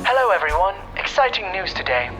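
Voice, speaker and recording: A woman playing an excitable, gossipy teenage cheerleader in an audio story, through a phone-like filter. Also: medium pitch, fairly quick. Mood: smug, excited. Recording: muffled phone-like audio under loud music.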